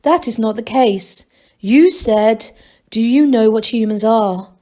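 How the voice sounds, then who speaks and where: A woman's voice: a little high, slow pace, warm tone. A woman voicing a small, literal-minded machine in a storybook, tinny and formal in clipped, exact phrases. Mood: pedantic. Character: tinny little thinking machine, pedantic and literal.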